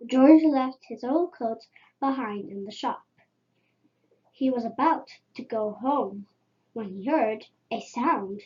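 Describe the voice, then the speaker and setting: A woman's voice: on the high side, slow, warm tone, muffled recording. A woman reading a children's story aloud as a storyteller.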